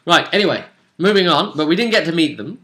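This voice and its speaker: A man's voice: on the high side, lively intonation, fast talker, sharp tone. A British man speaking as game master, casually recapping a tabletop role-playing session and dropping teasing hints.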